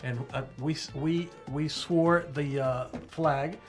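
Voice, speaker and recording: Older Cuban-born man, lively radio talk. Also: a little high, slow, faint music behind. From Cuba. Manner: animated, restarts often, rising when stirred. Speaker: co-host. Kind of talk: casual radio chat.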